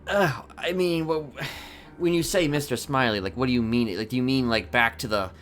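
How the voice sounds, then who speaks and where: A man playing a conflicted prison inmate trying to reform, improvising in a halting, stammering way. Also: mid-range voice, fairly bright tone, close to an everyday voice. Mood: hesitant, earnest. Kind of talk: improvised online roleplay.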